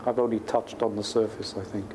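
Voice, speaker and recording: A man's voice: on the low side, little pitch movement, fairly quick. A male professor explaining technical ideas informally in a lecture, thinking aloud in a conversational way. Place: lecture room at a university. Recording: clearly noisy lecture room recording.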